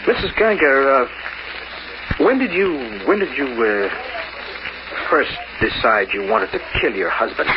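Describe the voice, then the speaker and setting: An actor playing a cynical, streetwise locksmith who narrates in hardboiled slang, in an old-time radio noir style. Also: male voice, mid-range voice, big pitch swings, a little slow, long pauses. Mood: confident, probing. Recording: noisy, muffled old radio recording.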